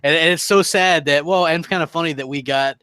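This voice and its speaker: A man's voice: a little high, fast talker, pushed and bright, smooth, dry recording, clean studio sound. A man co-hosting a gaming show, chatting casually and off the cuff in loose, self-interrupting sentences. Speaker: co-host of a gaming talk show.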